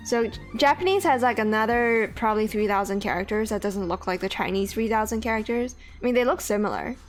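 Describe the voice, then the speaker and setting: A woman's voice: on the high side, fast talker. Chatty, swearing woman streamer. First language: Cantonese. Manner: chatty, swears freely, laughs often. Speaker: game streamer. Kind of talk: casual live-stream chat. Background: faint game music.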